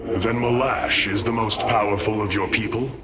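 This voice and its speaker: A man's voice: lowish voice, little pitch movement, moderate pace, heavy background noise, close to an everyday voice. An actor playing a noble, resolute male alien commander in a video game, speaking in a formal, stately and earnest way. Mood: grave. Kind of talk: scripted game cinematic acting.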